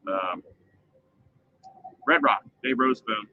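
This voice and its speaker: A man's voice: on the low side, takes it slowly. A man co-hosting a casual live show outdoors at a fair, reading out the top finishers class by class. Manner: lively, reads out lists of results. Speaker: co-host recapping pulling results. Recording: slightly dull live stream sound with faint fair ambience.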